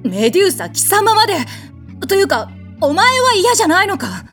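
A woman playing a haughty, quick-tempered warrior goddess in an audio drama, with blunt, commanding, masculine phrasing. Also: very high voice, very animated, fairly bright tone, faint music behind, exaggerated voice. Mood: indignant. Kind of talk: anime-like audio drama acting with narration.

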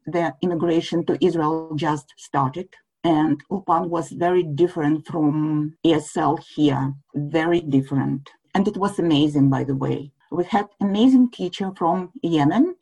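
A middle-aged woman with a Russian accent tells her story with earnest, reflective care. Her voice sits a little low and sounds husky. She leaves small grammar slips in as she goes. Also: a little mellow, rough recording. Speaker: guest. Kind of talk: personal storytelling.

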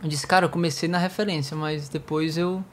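A man's voice: on the high side, fairly even intonation, a little fast. Nasal Brazilian man chatting. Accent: Brazilian Portuguese. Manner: reflective, storytelling with quoted dialogue. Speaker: worship songwriter and singer. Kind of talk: casual chat.

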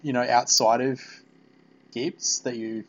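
A man hosting a casual podcast interview, talking in a halting, meandering way. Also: mid-range voice, flat delivery. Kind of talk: casual fan interview chat. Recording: dull, lo-fi podcast audio.

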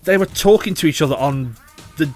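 An opinionated man chatting on a podcast, his pitch leaping up and down as he gets worked up. He talks in a slightly high voice, with faint music behind him. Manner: animated, opinionated, quick to joke. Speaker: podcast co-host. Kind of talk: casual chat.

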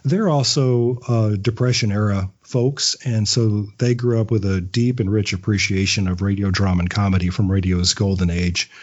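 A man in his fifties chatting casually as a podcast guest, telling stories in a halting, anecdotal way on dull, lo-fi audio. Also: on the low side, fairly even intonation, darkish tone. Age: 50s. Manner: chatty storyteller, halting with repeated words, chuckles often. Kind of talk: casual interview chat.

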